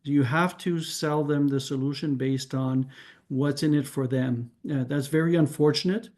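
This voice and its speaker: Man, mellow low voice. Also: fairly even intonation, normal speed. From Canada. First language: French. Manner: thinking aloud, frequent restarts, ends points with "right?". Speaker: discussion participant. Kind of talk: casual group discussion.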